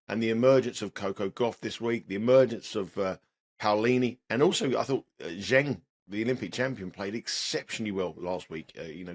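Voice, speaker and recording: A man talks through tennis betting in a loose podcast chat, his low voice hoarse and scratchy from a lost voice. He rambles and restarts, leaning on fillers as he thinks aloud.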